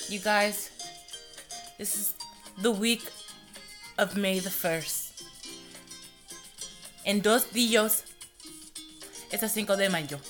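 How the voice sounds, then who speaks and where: A woman's voice: medium pitch, a bit bright. A young woman hosting her own podcast, chatting loosely and profanely and laughing often. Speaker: podcast host. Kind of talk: casual solo podcast monologue.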